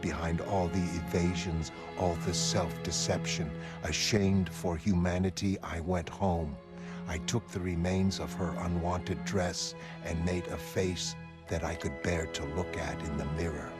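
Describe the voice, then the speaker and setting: Man acting grim, clipped low-voiced vigilante prisoner. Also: a little slow. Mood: grim, detached. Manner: clipped, terse sentence fragments, flat menace. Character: grim, hostile masked vigilante prisoner, middle-aged man. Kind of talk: motion comic voice acting. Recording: music behind the voice.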